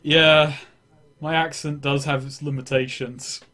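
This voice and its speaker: A man's voice: on the high side, flat delivery, a little slow, bright, forceful tone. A man playing in a tabletop game, chatting casually and making wry jokes. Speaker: player.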